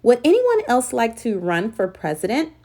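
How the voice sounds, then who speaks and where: A woman's voice: fairly high pitch, wildly swooping pitch, normal speed, darkish tone, heavily acted. A woman voicing an encouraging, instructive female schoolteacher in a storybook, addressing her young class.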